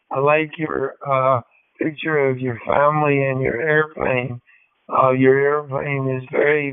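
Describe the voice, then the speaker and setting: Slow-talking American man on tinny radio. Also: mid-range voice, clean tone, no room sound. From Georgia, United States. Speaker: amateur radio operator. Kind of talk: ham radio contact, polite informal exchange. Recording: tinny narrowband digital radio transmission.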